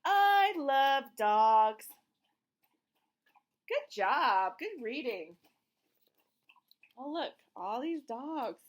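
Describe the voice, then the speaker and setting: A woman's voice: sits high, animated, very slow, pauses often. A woman doing an interactive read-aloud for young children like a teacher, asking simple questions, giving encouraging prompts and reading the words with emphasis.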